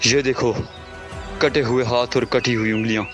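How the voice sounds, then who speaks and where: A man's voice: medium pitch, steady tone, normal speed, highs cut off, lightly acted. An actor playing a grave, commanding male leader of a refugee caravan in an audio drama. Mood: solemn. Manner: solemn, commanding, gives orders. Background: music score.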